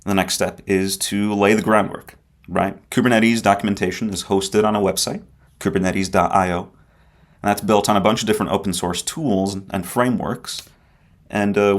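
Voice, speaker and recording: A man with a low, deep voice presents a conference talk. He keeps it conversational and often tags his points with a quick "right?" to the audience. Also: fairly even intonation. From Egypt. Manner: conversational, often adds tag questions. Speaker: conference speaker.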